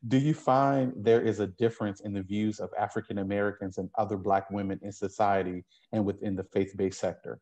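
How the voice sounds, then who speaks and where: A man's voice: fairly low pitch, fairly even intonation, brisk, few pauses, a little mellow. A man in his thirties moderating a webinar Q and A on a video call, friendly and with many ums. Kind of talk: hosting a webinar Q and A.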